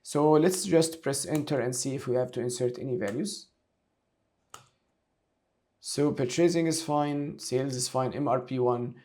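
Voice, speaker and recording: A man giving a step-by-step software tutorial, talking through each action aloud as an instructor. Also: mid-range voice, unhurried, long pauses. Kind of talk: screen-share tutorial, explaining step by step.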